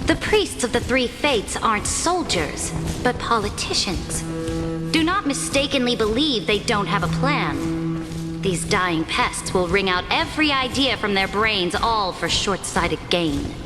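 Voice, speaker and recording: A woman voicing a proud, ruthless imperator in a dramatic game, speaking in measured, formal oratory with cool command. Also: mid-range voice, lively intonation, close to an everyday voice. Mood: stern, calculating. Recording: long hall reverb, loud music underneath. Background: game music.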